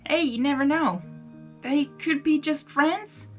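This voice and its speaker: A woman voicing a kindly, steady female cowgirl pony, consoling and plain-spoken, in a story reading. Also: sits high, a little slow, pitched above the performer's own voice. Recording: music behind the voice.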